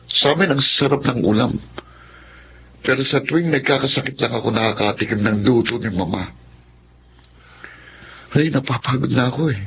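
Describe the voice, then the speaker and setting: A man's voice: medium pitch, moderate pace, long pauses, fairly bright tone. A man playing a lonely, sulky rich student in a Tagalog radio drama, earnest and plaintive, with a Filipino accent. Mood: hurt, plaintive. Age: young adult. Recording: muffled, clearly noisy radio drama.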